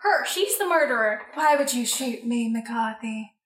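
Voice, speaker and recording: High, distant, melodramatic mystery sister, played by a woman. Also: expressive, fairly bright tone. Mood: pleading. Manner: dramatic, halting, pleading and exasperated. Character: two squabbling sisters in a murder mystery, exasperated, melodramatic. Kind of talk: improvised comic radio play. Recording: roomy echo, off the mic.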